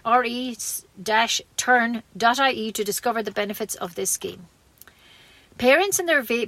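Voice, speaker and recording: A woman's voice: fairly high pitch, pushed and bright, no room sound. A woman with an Irish accent reading scripted local news as a community radio newsreader.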